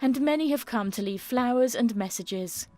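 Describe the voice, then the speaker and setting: A woman's voice: a little high, normal speed, a little mellow, faint music behind. A woman narrating a scripted television news report.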